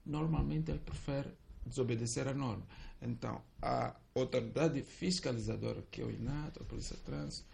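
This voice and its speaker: A man, an expert guest on a radio debate, explaining in a measured, formal way. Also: mid-range voice, gravelly, darkish tone.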